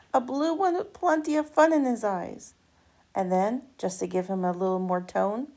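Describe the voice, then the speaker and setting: Gravelly-edged woman reading a children's story. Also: on the high side. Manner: animated, dramatic emphasis with drawn-out words. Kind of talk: expressive read-aloud of a rhyming children's picture book.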